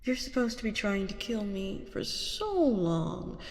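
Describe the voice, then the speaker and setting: A woman playing a haughty, seductive vampire queen in an ASMR roleplay, teasing and gloating over her captive with deliberate phrasing and breathy whispers. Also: medium pitch, sing-song, a little mellow, in a large hall. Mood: haughty, amused.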